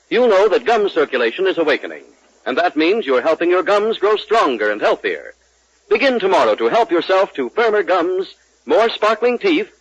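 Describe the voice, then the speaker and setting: A man working as a 1940s radio announcer, delivering sponsor pitches and show patter. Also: fairly bright tone, slightly rough, no room sound. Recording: muffled vintage 1940s radio recording.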